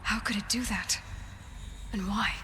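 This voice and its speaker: A woman's voice: medium pitch, fairly even intonation, unhurried, pushed and bright. A woman playing a determined, inquisitive young machine hunter in a video game, musing as if to herself. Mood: puzzled, serious. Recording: game ambience noise behind.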